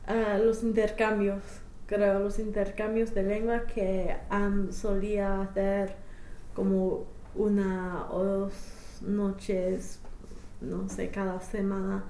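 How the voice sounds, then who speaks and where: Slow, hesitant young woman learner. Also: medium pitch, completely flat delivery, dark tone, reverberant, a little hiss or hum, low-quality recording. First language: English. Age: young adult. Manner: hesitant, many fillers and restarts, laughs shyly. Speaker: language learner interviewee. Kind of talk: spoken language interview.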